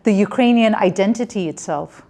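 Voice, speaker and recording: A woman's voice: medium pitch, moderate pace, warm tone. A woman hosting a news interview, asking questions in a composed manner. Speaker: news host.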